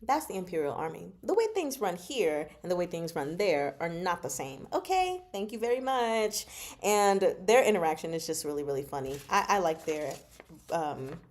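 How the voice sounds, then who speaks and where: A woman hosting a casual book discussion livestream, chatting to viewers in an expressive, exclaiming manner. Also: lowish voice, moderate pace. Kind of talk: casual chat, discussing a novel with live viewers.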